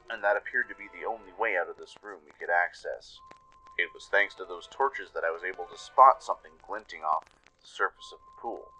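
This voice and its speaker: A man's voice: low voice, pushed and bright, poor audio. A man giving dramatic scripted narration as the storyteller and announcer of a radio play.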